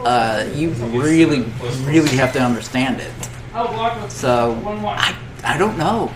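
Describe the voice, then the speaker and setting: A man's voice: medium pitch, lively intonation, takes it slowly, background noise. A man talking casually and with strong opinions on a panel, rambling and often restarting and repeating his phrases. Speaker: podcast panelist. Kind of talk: casual opinionated chat about games and films. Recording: background crowd chatter.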